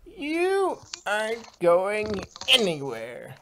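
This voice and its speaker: A man's voice: high voice, huge pitch swings, extremely slow, pushed and bright, a little hiss or hum, pitched above the performer's own voice, full character voice. A man voicing a stern, protective older grandmother pony in a storybook reading, firm and indignant.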